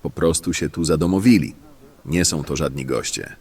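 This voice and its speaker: Man playing a low, husky, cynical bandit. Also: normal speed, darkish tone, full character voice. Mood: reasoning. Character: gruff, cynical, calculating male bandit in a fantasy world. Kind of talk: fantasy audio drama acting.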